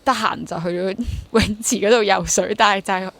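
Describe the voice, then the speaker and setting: A woman's voice: medium pitch, brisk, creaky, fairly bright tone, no room sound. A young adult woman with a Hong Kong accent chats casually and reflectively as an interview guest, mixing in English words. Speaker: interview guest, theatre actor.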